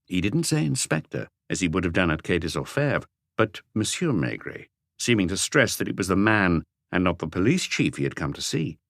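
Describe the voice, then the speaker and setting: A man's voice: sits low, big pitch swings, normal speed, creaky, fairly bright tone, no room sound. A man narrates a novel for an audiobook. His delivery is scripted, literary storytelling, recorded very dry with almost no room sound.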